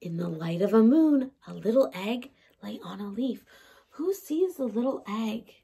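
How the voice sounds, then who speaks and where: A woman reads a story to little children like a preschool teacher. Her voice is high and swings widely up and down. She takes her time and often turns to the listeners with questions. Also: unhurried, vocal fry, husky. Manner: animated, addressing young children, asking questions. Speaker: early learning teacher. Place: indoors by a large window in a room at home.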